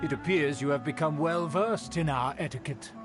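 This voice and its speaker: A haughty aristocratic nobleman, voiced by a man, formal and condescending in every word. His voice sits a little high for a man. Clear game music plays behind him. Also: normal speed, natural voice. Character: pompous aristocratic male nobleman, snobbish.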